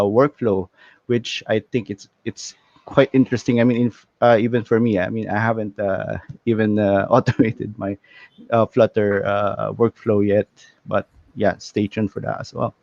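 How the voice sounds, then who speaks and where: A man's voice: fairly low pitch, fairly even intonation, measured pace, vocal fry, darkish tone, no echo. A man co-hosting an online meetup, speaking casually with many hesitations over a video call. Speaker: meetup co-host. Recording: dull dry call audio.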